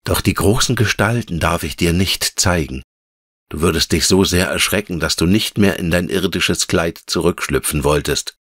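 A gentle female elf of the trees from a storybook, acted out by a man. The voice is low and dry, with a measured, solemn tenderness and patient, lyrical phrasing. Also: no room sound. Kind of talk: fairy-tale audiobook character.

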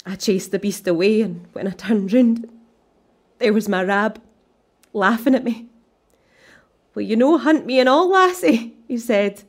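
A woman's voice: a little high, measured pace, long pauses. An actress with a Scottish accent playing a bitter, grieving widow and mother in a radio drama, speaking plainly and with emotion. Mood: wistful.

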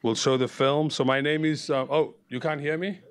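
A man's voice: fairly high pitch, steady tone, moderate pace. A man from South Africa, a faculty host, introduces a film screening to an audience, projecting his voice because the mic is not working and speaking loosely off the cuff with restarts. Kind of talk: casual talk. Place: large lecture hall. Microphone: far room mic. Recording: echoey lecture hall, far from mic, noisy.

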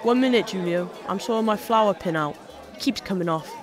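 Actor as easygoing young guest, high voice, music and crowd faint behind. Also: male voice, fairly quick, natural voice. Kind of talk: audio drama acting.

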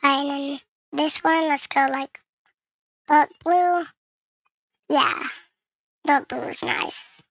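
A very bright, high voice run through a voice changer gives casual game commentary. It is creaky and sounds dry and close, chatting to viewers and thinking aloud while playing. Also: fairly even intonation, takes it slowly, long pauses, no room sound. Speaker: gaming video creator.

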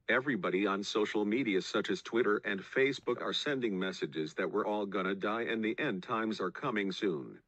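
A man's voice: fairly low pitch, dry recording, muffled recording. A man reading a scripted emergency news bulletin as an announcer in a mock broadcast. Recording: loud music over the voice. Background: loud music.

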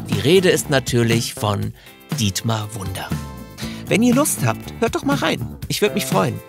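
Gravelly, animated male podcast host. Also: medium pitch. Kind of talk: informal podcast presentation. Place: studio.

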